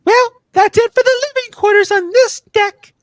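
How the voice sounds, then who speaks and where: Woman playing a very high-pitched flirty starship officer. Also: normal speed, dry recording, studio quality. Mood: flirtatious. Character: female starship crew officer, brisk and flirtatious. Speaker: podcaster acting in script reenactment. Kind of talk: amateur comedic radio play reading.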